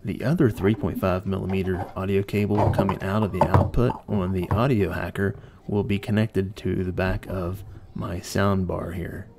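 A man's voice: low voice, fairly even intonation, normal speed, gravelly, heavy background noise. A man presenting an informal hands-on tech tutorial, explaining plainly step by step.

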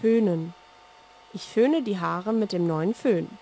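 A woman reading a scripted language lesson, giving single words and short example sentences with measured, deliberate enunciation. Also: medium pitch, dark tone, a little hiss or hum. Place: dry studio voice-over recording.

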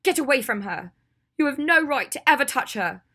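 Woman acting fierce, distraught young woman, raised pitch. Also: expressive, measured pace, dark tone. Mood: devastated rage. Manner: shaking with rage, cold when accusing. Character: fierce, devastated young woman, protective, full of loathing. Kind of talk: audiobook character acting.

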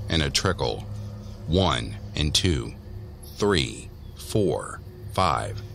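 A man's voice: very deep voice, fairly bright tone, slight background noise. A man narrating a scripted horror story as the storyteller of an audio drama.

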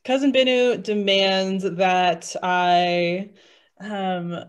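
A woman's voice: medium pitch, fairly even intonation, extremely slow. A woman chatting casually on a video call during a tabletop game, hesitant and thinking aloud as she goes. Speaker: player and guest author. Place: indoors, on a group video call.

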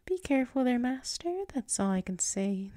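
A woman playing a flustered, submissive tsundere werewolf in an intimate ASMR roleplay, halting and murmuring, often slipping into whispers close to the mic. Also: fairly high pitch, expressive, mellow, dry recording. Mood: flustered, coy. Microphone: close microphone.

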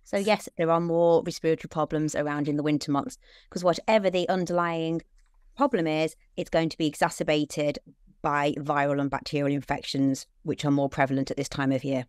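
A British woman in her forties, a children's lung doctor, explaining clearly and steadily on a video call from a room at home. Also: low voice, fairly even intonation, brisk, darkish tone, no echo, studio quality. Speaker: paediatric respiratory consultant. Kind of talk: answering questions in an online talk.

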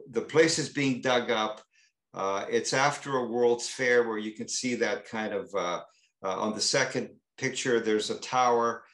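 A man speaking as an artist on a panel, talking in a halting way with many fillers and restarts. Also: lowish voice, a bit bright, roomy sound. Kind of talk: panel discussion. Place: gallery talk room.

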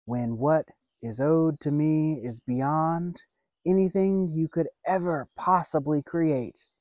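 A man in his thirties delivers an earnest outdoor sermon, seated by a lake, speaking slowly with long pauses. His voice is mellow and warm, caught close and dry on a lapel mic. He builds his points in measured phrases. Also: on the high side, no room sound. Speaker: pastor.

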